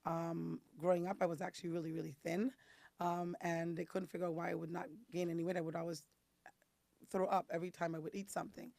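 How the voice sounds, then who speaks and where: A woman from the Caribbean with a raspy, hoarse voice, talking as a guest and explaining things step by step with frequent ums. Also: on the low side, little pitch movement, quick pace, a little mellow, dry recording. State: hoarse from detox. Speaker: guest, detox specialist. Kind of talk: casual interview talk.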